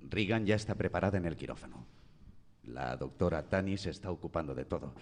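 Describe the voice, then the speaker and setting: An actor with a Castilian Spanish accent playing an authoritative, reassuring doctor in a radio drama. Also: male voice, sits low, expressive, quick pace. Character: calm, authoritative male doctor reassuring a worried mother. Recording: reverberant studio radio drama.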